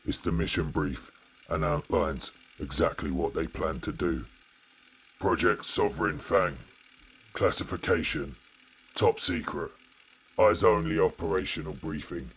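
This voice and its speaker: Very deep, husky man narrating horror. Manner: ominous, grave, deliberate. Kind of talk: scripted analog horror narration.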